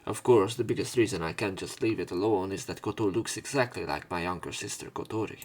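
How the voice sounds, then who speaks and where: A man's voice: low-pitched, brisk, darkish tone. A man reading visual novel narration aloud as a let's player, with casual commentary. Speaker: let's play streamer.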